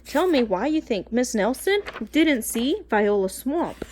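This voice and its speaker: A woman with a fairly high voice reads a children's story aloud like a teacher. Her pitch moves a lot and her pace is slow and careful. She often speaks straight to her young listeners. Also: sing-song, a little mellow, a little hiss or hum. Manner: addresses children directly, careful phrasing. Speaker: teacher reading aloud to pupils. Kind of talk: expressive children's storybook read-aloud with asides.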